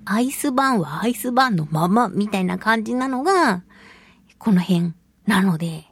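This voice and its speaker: A woman's voice: medium pitch, animated, normal speed, slightly rough. A woman hosting a casual solo radio talk, chatting in a playful manner. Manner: playful, chatty, laughs often. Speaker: radio show host and voice actress.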